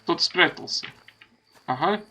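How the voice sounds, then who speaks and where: A man's voice: on the high side, lively intonation, a little slow, sharp tone, faint music behind. A man giving casual let's play commentary, reading game subtitles aloud in an informal, crude way. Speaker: gamer narrating playthrough.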